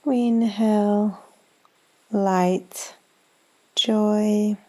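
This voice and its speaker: A woman leading a guided meditation in a gentle, soothing, unhurried manner with long pauses. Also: medium pitch, steady tone, poor audio. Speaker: yoga nidra meditation guide.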